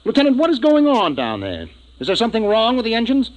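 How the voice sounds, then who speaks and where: An actor playing a gruff, impatient middle-aged spaceship commander in a 1950s science fiction radio drama, with a commanding delivery. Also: male voice, very high-pitched, huge pitch swings, fast talker, lo-fi sound, exaggerated voice. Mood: exasperated. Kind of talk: 1950s radio acting with first-person narration. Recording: vintage 1950s radio, music behind.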